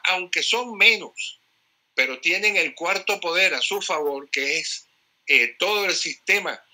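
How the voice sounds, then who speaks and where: Caller, man, solemn and halting, sharp bright tone. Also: a little high. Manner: solemn, hesitant with fillers. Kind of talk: casual political talk.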